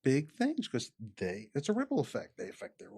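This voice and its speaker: A man, a chiropractor chatting casually on a podcast, animated and peppering his talk with "you know". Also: medium pitch, a little fast, gravelly, breathy edge. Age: 50s. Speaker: chiropractor guest.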